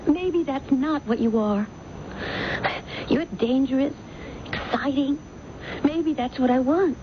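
A woman's voice: sits high, slow pace. An actress playing an emotional, lovestruck and possessive young woman in a radio mystery drama. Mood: infatuated. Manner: intense, emotional, pleading. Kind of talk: melodramatic radio mystery acting. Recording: muffled, noisy vintage radio broadcast recording.

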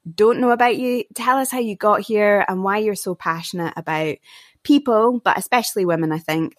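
A woman's voice: mid-range voice, dry recording, studio quality. A woman co-hosting a parenting podcast, introducing the show with playful jokes and then interviewing a guest. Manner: playful, jokey. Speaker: podcast co-host.

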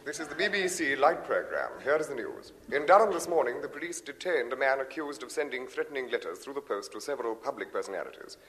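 An actor with a Received Pronunciation accent playing a formal, sober 1950s radio newsreader. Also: male voice, medium pitch, animated, fast, few pauses, fairly bright tone, close to an everyday voice. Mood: formal, grave. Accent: British Received Pronunciation. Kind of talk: 1950s radio news bulletin. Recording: old radio recording, long hall reverb.

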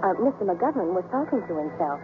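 A woman's voice: fairly low pitch, sing-song, quick pace, background noise. An American actress playing a wry woman who narrates the story in a 1950s radio drama. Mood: wry narration. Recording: tinny old radio broadcast with music.

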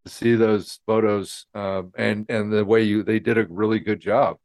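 Casting note: male host, fairly low voice, easygoing and encouraging, plenty of "you know.". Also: normal speed. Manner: relaxed, many fillers, supportive. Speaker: podcast host. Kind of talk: casual interview.

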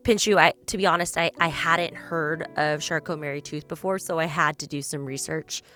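A woman's voice: low-pitched, fairly even intonation, normal speed, sharp tone. A woman hosting a podcast, reading an earnest introduction and asking interview questions. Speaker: podcast host.

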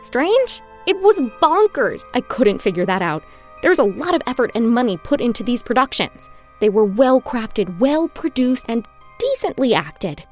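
A wry, higher-voiced superfan. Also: female voice, darkish tone. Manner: wry, emphatic, self-assured. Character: opinionated, blunt fan club head, woman. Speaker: interviewee. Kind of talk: conversational interview in audio drama.

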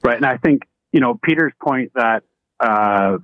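Man, radio panelist, deliberate, dry, thin lo-fi line. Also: medium pitch, no room sound.

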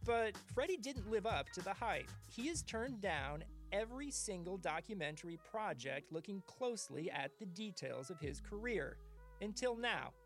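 A man narrates a podcast trailer with measured drama. His pitch rises and falls a lot as he reads. Faint music plays underneath. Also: big pitch swings. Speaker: podcast host.

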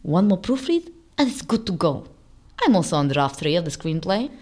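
A woman's voice: fairly low pitch, sing-song, moderate pace, darkish tone. A woman playing a vain, theatrical Russian escapologist in an audio drama, grandiose and full of herself. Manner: grandiose, self-admiring.

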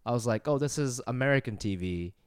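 A man's voice: mid-range voice, expressive, fast, clear, resonant tone, no echo, studio quality. A man co-hosting a podcast in casual, joking chat, laughing often. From Japan. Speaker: podcast co-host.

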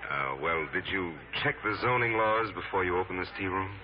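Man as deliberate official Rigby, muffled radio. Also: deep voice, close to an everyday voice. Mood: ominously polite. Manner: hesitant, formal, deliberate. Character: measured, ominously polite male city official Rigby. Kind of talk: 1940s radio acting. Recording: muffled old radio recording.

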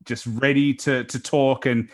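A man in his late twenties with a deep voice, hosting a podcast in casual chat, energetic and self-deprecating. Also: a little slow. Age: late 20s. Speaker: podcast host.

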